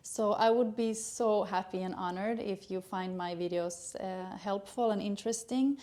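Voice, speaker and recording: A middle-aged woman from Sweden with a Swedish accent, a gynecologist introducing her educational channel in earnest, halting English with many fillers. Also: mid-range voice, mellow. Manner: earnest, hesitant with frequent uh fillers.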